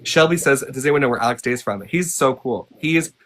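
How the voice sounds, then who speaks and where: A man's voice: medium pitch, lively intonation, fast, a bit bright, a little hiss or hum. A man raised in Texas whose first language was Hungarian, chatting enthusiastically on a live stream. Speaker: guest. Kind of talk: casual live stream chat.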